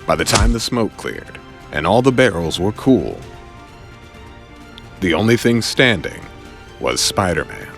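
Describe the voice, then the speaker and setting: Male storyteller for action audio drama: slow, lowish voice, dramatic swings, faint music underneath. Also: very animated, vocal fry, fairly bright tone. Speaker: narrator. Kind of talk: dramatic scripted narration.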